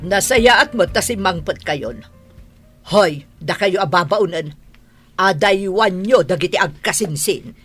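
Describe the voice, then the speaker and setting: A haughty madam of the house in a radio drama, with a bright, forceful voice and an Ilocano Filipino accent. She scolds slowly and scornfully, with big swoops in pitch. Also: lively intonation, husky, faint music behind, heavily acted. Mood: scolding. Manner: scolding, suspicious, mocking.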